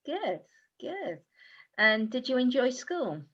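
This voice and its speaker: A woman's voice: high-pitched, very animated, slow pace, fairly bright tone. A woman hosting a casual interview, asking short personal questions, with slightly lo-fi sound. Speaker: interview host.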